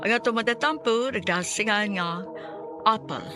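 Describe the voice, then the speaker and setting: A woman's voice: mid-range voice, moderate pace, over loud music. A woman giving a dramatic scripted introduction as a radio drama announcer.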